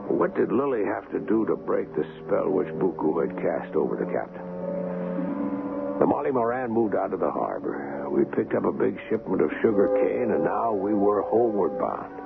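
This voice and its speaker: An actor playing an earnest, concerned doctor in a 1970s radio drama, speaking in an urgent, questioning manner. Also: male voice, sits low, big pitch swings, moderate pace, gravelly, loud music behind the voice, full character voice. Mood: sombre narration. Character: earnest, concerned male ship's doctor who narrates. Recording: very noisy, phone-like old radio broadcast.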